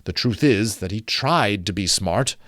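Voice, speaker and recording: A man reading a classic story aloud as dramatic narration, theatrical and deliberate, building suspense. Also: fairly low pitch, animated, a bit bright, no room sound, clean studio sound. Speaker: amateur audiobook narrator.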